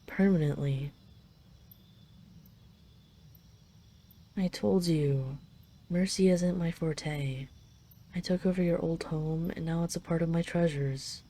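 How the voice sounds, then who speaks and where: Woman voicing a low, mellow, possessive dragon queen. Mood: proud, possessive. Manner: imperious, teasing, intimate, slightly flustered when admitting affection. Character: haughty, possessive dragon queen, teasingly fond of her captive. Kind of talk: roleplay audio drama addressed to the listener.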